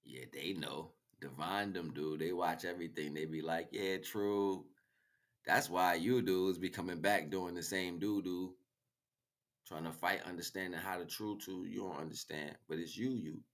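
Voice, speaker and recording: A man's voice: sits low, moderate pace, a bit bright. An American man livestreaming a freestyle spiritual monologue in a rhythmic, rhyming, slangy way. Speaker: livestream host.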